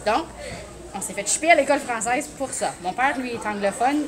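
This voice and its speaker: A woman's voice: a little high, a little fast. A woman chatting casually in an interview. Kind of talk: casual chat with English slang. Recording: clear crowd chatter behind.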